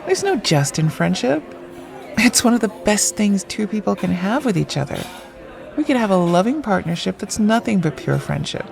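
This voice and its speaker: A woman's voice: mid-range voice, sing-song, normal speed, gravelly, a crowd in the background. An actress playing a witty, frank woman on a friendly date in an audio drama, with wry, clipped quips. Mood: earnest, wry.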